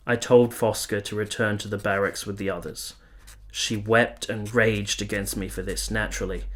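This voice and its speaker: An actor playing a weary, earnest, lovestruck army officer who narrates a radio play in solemn, literary, confessional language. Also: male voice, on the low side, fairly bright tone, close to an everyday voice. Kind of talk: radio play, first-person letter narration.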